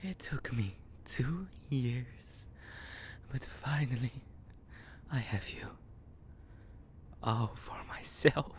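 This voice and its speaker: A man acting a slow-talking, falsely sweet young yandere. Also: mid-range voice, a little hiss or hum. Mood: creepy, tender, obsessive. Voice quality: soft, often breathy and whispering. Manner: slow, chuckling, teasing, coaxing. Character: obsessive psychotic young male yandere friend, falsely sweet. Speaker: audio roleplay voice actor. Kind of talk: intimate audio roleplay, second-person.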